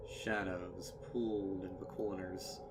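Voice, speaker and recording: A man narrating a dark horror story in a deliberate, somber, dramatic manner. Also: low voice, little pitch movement, distant mic, very noisy.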